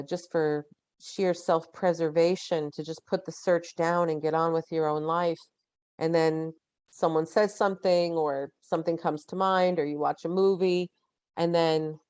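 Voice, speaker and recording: A woman in her fifties, a guest on a podcast interview, talking in an earnest, reflective way and pausing to find her words. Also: low-pitched, a little mellow, no echo. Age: 50s. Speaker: guest, genetic genealogist.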